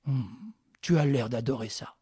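A man voicing a cunning gentleman thief who gives orders briskly and with dry irony. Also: medium pitch, steady tone, breathy edge, no room sound. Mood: commanding. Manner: brisk, commanding, ironic.

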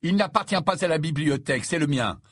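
A man's voice: medium pitch, normal speed, husky, no room sound, studio quality. A man voicing a stubborn, defensive, awkward teenage schoolboy in a storybook, speaking insistently. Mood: indignant.

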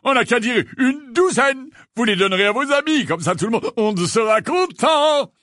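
A man's voice: very high-pitched, expressive, moderate pace, fairly bright tone, no echo, raised voice, clean studio sound, pitched above the performer's own voice. A man voicing a vain, befuddled wizard professor, exuberant and airy, stumbling over and repeating his words. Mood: smug. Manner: hesitant, repetitive, chuckling, airy.

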